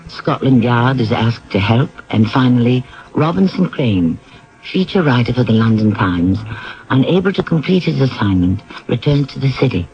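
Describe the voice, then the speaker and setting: A man's voice: medium pitch, lively intonation, moderate pace, a little mellow. A man delivering scripted narration for a 1970s radio drama. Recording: dull vintage radio recording.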